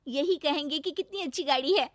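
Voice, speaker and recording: A woman's voice: high-pitched, a little fast, no room sound, pitched above the performer's own voice, lightly acted. Spunky young village bride, lady doing the voice, playful, dry close sound. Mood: cheeky. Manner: cheeky, bright and playful. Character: spirited young village daughter-in-law.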